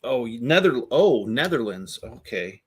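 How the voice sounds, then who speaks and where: A man's voice: mid-range voice, big pitch swings, unhurried, a little hiss or hum. A middle-aged man hosting a casual livestream, chatting with viewers in a rambling, self-deprecating, joking way. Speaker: Lego building livestream host. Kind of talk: casual chat with live viewers.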